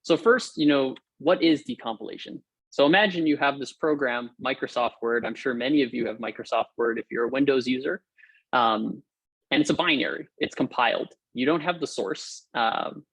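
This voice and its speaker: A man's voice: mid-range voice, husky, no room sound, rough recording. Young man, upbeat lecturer, informal with fillers, dry sound, video call. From Hawaii. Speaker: guest lecturer. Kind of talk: technical talk over video call. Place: room at home or office.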